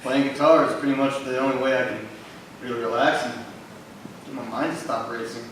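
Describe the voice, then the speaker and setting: A man's voice: medium pitch, fairly even intonation, brisk, a bit bright, a little hiss or hum, natural voice. A man playing an easygoing young guitarist in a staged reading, recorded from a distance in a hall. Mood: candid. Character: young male guitar player, easygoing friend. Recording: echoey hall from far.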